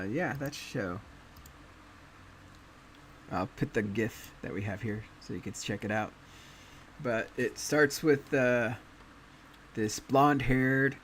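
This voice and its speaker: A man hosting a casual anime chat, talking in a relaxed way with many fillers and pauses. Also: medium pitch, slight background noise. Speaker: podcast host.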